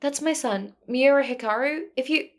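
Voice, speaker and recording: Narrator as a higher-voiced, alert mother woman. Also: moderate pace, lightly acted. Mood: alert, concerned. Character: forthcoming curly-haired mother at a park.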